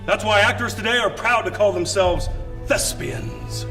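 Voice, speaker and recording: A man's voice: high-pitched, unhurried, music in the background. A man with a Midwestern American accent co-hosting a theater show, reading scripted lines with dramatic storytelling emphasis. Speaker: co-host, acting coach.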